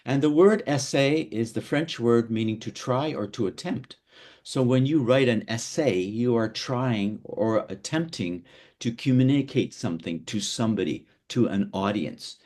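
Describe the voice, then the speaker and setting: Middle-aged male teacher from Canada, steady mid pitch, stop-start delivery full of fillers, over a video call. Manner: halting, many fillers and repeated words. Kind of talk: online workshop lesson.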